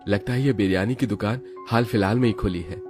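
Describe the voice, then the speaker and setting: A man's voice: lowish voice, a little fast, darkish tone, faint music behind, natural voice. A man voicing a poor, worried labourer and father in a cartoon moral story, plaintive and earnest. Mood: wistful. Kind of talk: Hindi animated moral story acting.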